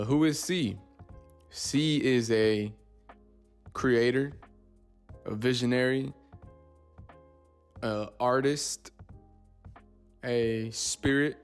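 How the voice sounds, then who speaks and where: A man's voice: medium pitch, steady tone, slow pace, long pauses, clear, resonant tone, soft background music. A man, hosting his own podcast in loose, rambling casual talk. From Texas, USA. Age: 20s. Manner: loose and rambling, says "you know what I'm saying" often. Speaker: podcast host.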